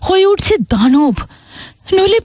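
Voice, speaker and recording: A woman playing a sorrowful, wounded motherland in a Bengali audio drama, speaking theatrically and emotively with dramatic pauses. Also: very high-pitched, big pitch swings, a little mellow, dry recording, studio quality, exaggerated voice. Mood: grieving. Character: Mother India, sorrowful wounded motherland recounting her past. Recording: dramatic music behind the voice.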